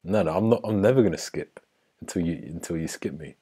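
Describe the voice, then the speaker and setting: Very deep-voiced, husky young man chatting. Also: fast talker, dark tone. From Egypt. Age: 20s. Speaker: YouTuber hosting random video chats. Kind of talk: casual joking chat with strangers. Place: living room at home.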